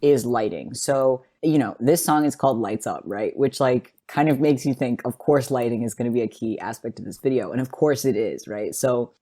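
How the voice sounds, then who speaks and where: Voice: medium pitch, normal speed, few pauses, mellow. Podcast host with an enthusiastic, wordy manner. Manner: conversational, frequent "like" and "right?" check-ins. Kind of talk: casual analytical podcast discussion.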